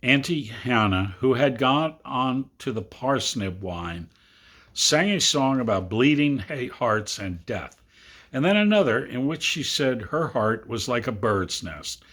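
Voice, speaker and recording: Husky, expressive man reading a story. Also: medium pitch, measured pace. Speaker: amateur reader of a literary story. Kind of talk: expressive literary storybook narration.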